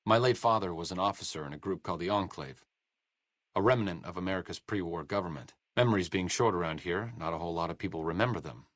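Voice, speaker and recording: A man's voice: low voice, fast, a bit bright, no echo. An actor playing a young, learned male scientist companion in a game, with a dry, sardonic wit and educated phrasing. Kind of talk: studio game voice acting. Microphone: close studio mic.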